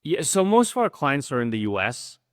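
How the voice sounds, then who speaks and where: A man's voice: medium pitch, big pitch swings, a little fast, no echo, clean studio sound. A middle-aged man from Switzerland, a coach speaking as a guest in a casual interview, earnest and fluent, often tagging "right?".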